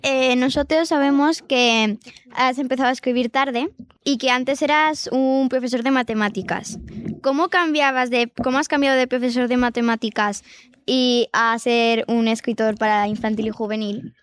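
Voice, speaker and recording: A woman's voice: on the high side, steady tone, normal speed, smooth, dry recording, studio quality. A female volunteer interviewer with a Castilian Spanish accent, putting prepared questions and joining in light banter.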